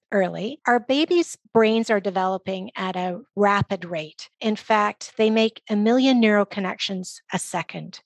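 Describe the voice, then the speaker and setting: A woman speaking as a guest expert, giving measured, articulate explanations in an interview with emphasis on key phrases. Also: medium pitch, no room sound. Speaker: guest expert, early literacy organization leader.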